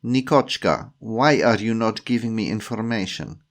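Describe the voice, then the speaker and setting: A man's voice: fairly low pitch, normal speed, close to an everyday voice. A man voicing a haughty, fiery woman with a Russian accent in an audiobook story, indignant and demanding.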